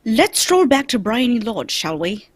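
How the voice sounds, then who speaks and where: Woman as male detective, high voice, lively swings in pitch, theatrical, brisk, commanding, old-time radio drama. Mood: urgent.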